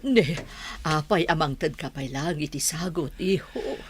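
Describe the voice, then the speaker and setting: A woman playing a brisk, materialistic middle-aged mother in a Filipino radio comedy drama. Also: sits low, husky. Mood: gushing, welcoming.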